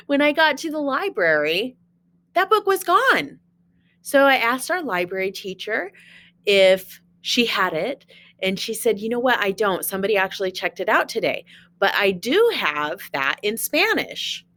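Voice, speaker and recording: A woman's voice: medium pitch, fairly bright tone. A woman teacher reading a children's storybook aloud, expressively, with chatty asides and questions to young listeners.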